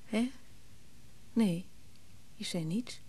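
An actress playing a distraught, guilt-ridden woman in grief in a radio drama, halting and repeating words in a breathy, often whispering voice. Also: mid-range voice, warm tone. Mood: fragile, shivering.

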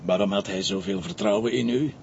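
An actor playing a shrewd, probing middle-aged police commissioner with a Flemish accent, talking in a dry, insistent way. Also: male voice, animated, brisk, creaky, darkish tone. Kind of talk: television crime drama. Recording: dull lo-fi TV audio with faint rumble.

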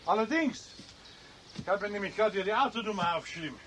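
An actor playing an officious, indignant forestry official with a Bavarian accent in a radio play, stern and insistent. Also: male voice, high voice, lively intonation, fairly quick, fairly bright tone, a little hiss or hum. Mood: stern, accusing. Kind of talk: 1970s German radio play acting.

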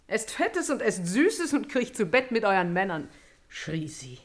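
An actress playing a spiteful, gossiping maidservant in a classical radio tragedy, theatrical and mocking. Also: female voice, mid-range voice, sing-song, measured pace.

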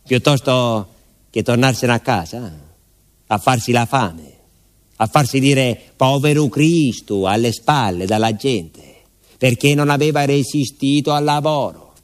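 A man's voice: mid-range voice, unhurried. A man with a southern Italian accent performing a dramatic, colloquial narrative monologue for radio theatre as a vivid storyteller. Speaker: stage storyteller and actor.

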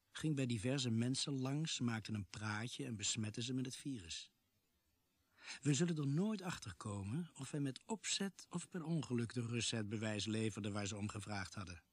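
An actor playing a sceptical, matter-of-fact investigator who narrates a Dutch radio drama in a measured, husky voice. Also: male voice, mid-range voice, no echo.

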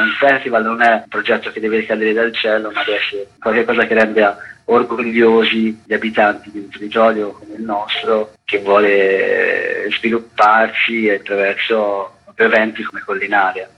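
A man's voice: on the low side, slightly rough. A man giving explanatory answers as a festival co-director in a radio interview. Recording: dull lo-fi radio interview audio.

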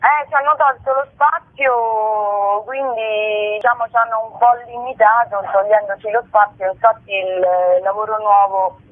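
A woman's voice: mid-range voice, steady tone, measured pace, few pauses. Woman, theatre artist giving a radio interview over a thin phone connection. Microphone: telephone. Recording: narrow tinny phone line.

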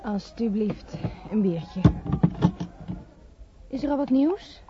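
An actress playing a down-to-earth, skeptical barmaid in a radio play, speaking matter-of-factly. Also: female voice, a little high, lively intonation, slow pace, very noisy. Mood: casual. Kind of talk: Dutch radio play acting. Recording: dull old radio play recording.

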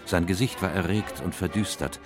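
An actor playing a grave, compassionate gentleman in a radio drama, narrating in a measured, solemn, literary manner. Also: low voice, over music, close to an everyday voice. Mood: grave, concerned.

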